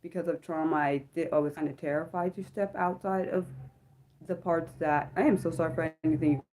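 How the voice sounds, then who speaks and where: A woman in her thirties hosting a personal livestream from home. She speaks haltingly, with many ums and apologetic self-corrections, and her voice sounds slightly sick. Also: low voice, fairly even intonation, darkish tone. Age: 30s. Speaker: livestream host. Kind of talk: personal reflective livestream talk.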